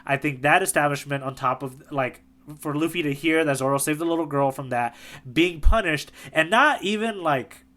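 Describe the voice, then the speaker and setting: A man co-hosting a casual podcast chat, animated and quick to laugh, with lots of fillers. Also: a little high. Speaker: podcast co-host, tour guide.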